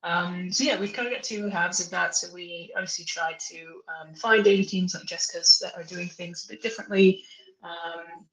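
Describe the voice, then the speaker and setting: A woman's voice: on the low side, moderate pace, a bit bright, echoey room, low-quality recording. A woman hosting an online session in a casual, hesitant, chatty way, giving an informal introduction over a video call. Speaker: webinar host.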